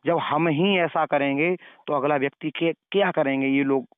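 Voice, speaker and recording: A man speaks over a narrow phone line with a fairly high, smooth and resonant voice. He moralizes like someone giving advice, often repeating his words to drive a point home. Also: fairly even intonation, normal speed, no room sound. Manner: moralizing, preachy, repeats phrases. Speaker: caller questioning and advising the other party. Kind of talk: phone conversation. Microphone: telephone. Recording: narrow tinny phone-call recording.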